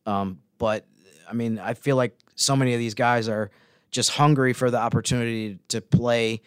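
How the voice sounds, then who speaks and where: A man talks through sports analysis casually, halting as he thinks. His voice is clean and medium in pitch, recorded with almost no room sound. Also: fairly even intonation, smooth, dry recording, clean studio sound. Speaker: podcast co-host and sports analyst.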